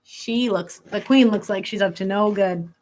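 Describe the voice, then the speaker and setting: A woman teacher reading a picture book aloud to young pupils, pausing to explain words in simple terms. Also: medium pitch, darkish tone.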